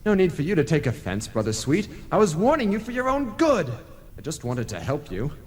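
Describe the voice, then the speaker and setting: A sanctimonious male ghost, played by a man in a radio drama, officious and theatrical. His pitch swings widely as he preaches in formal phrases, all in long, hollow reverb. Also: wildly swooping pitch, fairly quick, a little mellow. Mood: smug, cheerful. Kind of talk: old-time radio play acting. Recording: long reverb, analogue radio broadcast tape.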